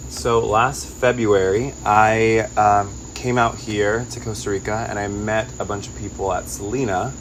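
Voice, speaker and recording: A man's voice: lowish voice, steady tone, measured pace, few pauses, background noise. A man from Los Angeles with a Californian accent, answering interview questions in a casual, informal way with lots of "like". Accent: Californian American. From Los Angeles, United States. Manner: informal, peppered with "like". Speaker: interview guest, singer-songwriter and digital nomad.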